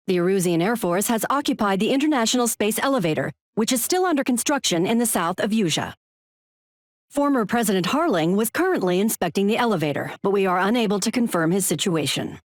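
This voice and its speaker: An actress playing a grave, composed TV news anchor, reading breaking news in a formal, measured, urgent manner. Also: female voice, medium pitch, animated, no echo, studio quality, natural voice.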